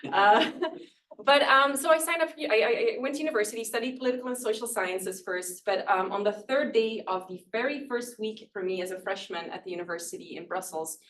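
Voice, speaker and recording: A woman's voice: on the high side, fairly quick, fairly bright tone, distant mic. A woman from northern Belgium whose first language is Dutch, giving a public lecture as a professor in a casual, self-deprecating way with many fillers and small laughs. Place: lecture room.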